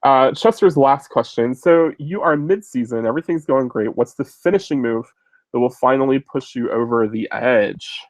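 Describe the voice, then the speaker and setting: A man's voice: medium pitch, moderate pace, no room sound, low-quality recording. A man in his thirties, a little sick and sniffling, casually answering viewer questions as host, rambling with lots of fillers. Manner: rambling, many fillers and restarts. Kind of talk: casual chat.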